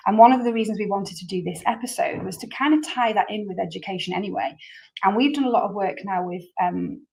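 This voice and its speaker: A woman's voice: mid-range voice, quick pace, creaky, a little mellow, poor audio. A woman hosting a podcast, introducing her guest in an earnest, rambling way with many repeated words. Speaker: podcast host. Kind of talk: casual interview chat.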